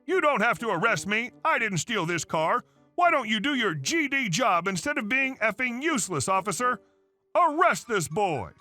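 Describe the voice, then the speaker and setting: A man voicing an entitled middle-aged mother who shouts accusations in a bossy, indignant way. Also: extremely high pitch, wildly swooping pitch, moderate pace, soft background music, pitched above the performer's own voice, heavily acted. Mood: shrill, accusing.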